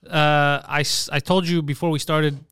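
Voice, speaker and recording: A man, co-hosting a crude comedy podcast, loudly mocking and roasting his friends. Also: on the high side, little pitch movement, moderate pace, clear, resonant tone, no room sound, clean studio sound. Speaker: podcast co-host.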